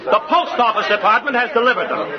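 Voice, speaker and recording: A triumphant, theatrical defence lawyer in a 1950s radio play. He declaims grandly in a high voice. It is a muffled old recording with a crowd behind. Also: quick pace. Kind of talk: 1950s radio drama acting.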